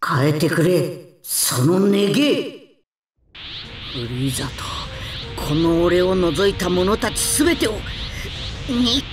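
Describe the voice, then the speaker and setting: Anime game hero: intense fighter, strained, slow delivery swinging widely in pitch, music underneath. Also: male voice, expressive. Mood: grimly determined. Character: enraged heroic adult male martial-arts warrior. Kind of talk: anime video game acting. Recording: echoey, with music behind.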